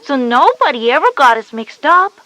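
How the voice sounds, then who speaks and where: A woman's voice: high-pitched, big pitch swings, moderate pace, bright, forceful tone, no room sound, heavily acted. A woman playing a knowing, slightly bossy older-sister schoolgirl, explaining things emphatically in an educational audio story. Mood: helpful, chatty.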